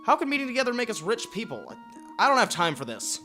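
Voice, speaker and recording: A man's voice: very high-pitched, wildly swooping pitch, quick pace, pushed and bright, faint music behind, exaggerated voice. Man playing a sullen, swooping teenage village boy. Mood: exasperated. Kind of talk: deliberately overdone comic audio drama acting.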